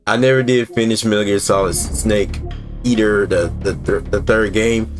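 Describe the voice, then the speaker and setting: Low-voiced man doing casual gaming commentary. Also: steady tone, moderate pace, music in the background. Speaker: gaming YouTuber, host.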